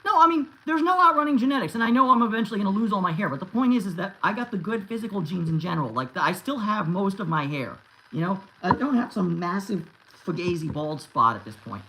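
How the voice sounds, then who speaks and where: A man's voice: sits high, expressive, quick pace, bright, forceful tone, a little hiss or hum, low-quality recording. A man in his thirties chatting casually on a livestream and answering viewers, rambling with many restarts and fillers. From United States. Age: 30s. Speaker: YouTube host answering live chat.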